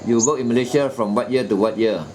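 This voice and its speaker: A man's voice: mid-range voice, fast talker, a little mellow, a little hiss or hum, low-quality recording. A man conducting a job interview, probing with questions and repeating the answers back, often trailing off with "ah" and "lah". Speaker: recruitment interviewer.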